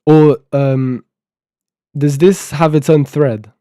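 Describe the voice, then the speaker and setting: An eighteen-year-old man live-streaming his coding, muttering and thinking aloud as he reads documentation. His voice is mellow and a little rough from a sore throat. It sounds dry and close, with keyboard clicks under it. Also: on the high side, fairly even intonation, takes it slowly, dry recording. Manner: mumbling, thinking aloud, reading docs half to himself. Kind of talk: casual live-stream talk while coding.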